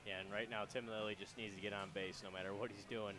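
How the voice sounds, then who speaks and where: A young man giving relaxed, chatty radio color commentary on a baseball game from a ballpark booth. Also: lowish voice, fast. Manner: casual, chatty, many fillers and restarts. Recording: crowd noise from the ballpark.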